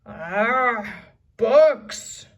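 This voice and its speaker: A woman's voice: fairly high pitch, lively intonation, very slow, far from the mic. A woman voicing a big, mean, gold-greedy pirate captain in a children's storybook, roaring and growling out orders. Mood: disgusted growl. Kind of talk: children's storybook read-aloud.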